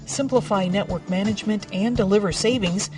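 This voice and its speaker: A woman's voice: mid-range voice, a little fast, recorded from a distance. A woman reading a scripted corporate promotional narration as a voice-over. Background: background music.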